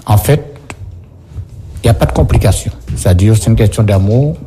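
Man, interview guest, low-leaning mellow voice, conversational, circles back over phrases, faint noise behind. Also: normal speed. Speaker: guest, songwriter for singers. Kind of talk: casual studio conversation.

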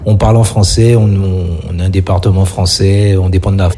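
Mellow man, emphatic talker. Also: deep voice, level tone, brisk, a little hiss or hum. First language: Creole. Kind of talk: casual discussion.

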